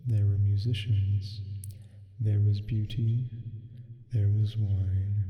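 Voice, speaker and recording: A man voicing a slow, sinister sleep paralysis demon, reading a gothic storybook tale in a soft, hushed ASMR style with heavy reverb. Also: fairly low pitch, highs cut off. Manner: hushed, measured, theatrical reading. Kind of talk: ASMR roleplay, storybook narration. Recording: long cavernous reverb, echoing. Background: faint music.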